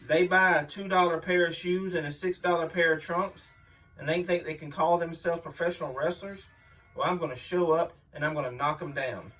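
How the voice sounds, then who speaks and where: A man's voice: on the high side, fairly bright tone, recorded from a distance, lightly acted. A man playing a boastful, mean veteran wrestling villain, blustering and aggrieved as if giving an interview. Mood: menacing, boastful. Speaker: reader voicing an interviewed wrestler. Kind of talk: dramatic magazine interview reading, wrestling promo.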